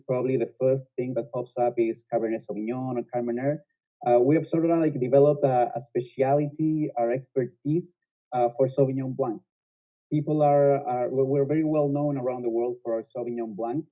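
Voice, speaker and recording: A man's voice: medium pitch, steady tone, fairly quick. A man in his thirties, a sales manager giving earnest interview answers with frequent restarts. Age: 30s. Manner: earnest, many restarts and fillers. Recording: dull lo-fi sound.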